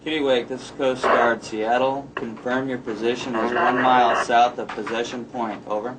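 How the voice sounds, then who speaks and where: A man playing a calm, procedural Coast Guard radio operator in a dramatized marine exchange, measured, formal and unemotional. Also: mid-range voice, close to an everyday voice. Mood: calm, official. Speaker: radio watchstander. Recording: distant, noisy radio with clicks.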